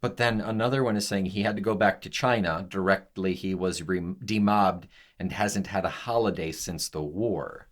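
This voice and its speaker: A man co-hosting a casual podcast, easygoing and quick to laugh, talking over song lyrics. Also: sits low. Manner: easygoing, laughs often, reads definitions aloud. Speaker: podcast co-host.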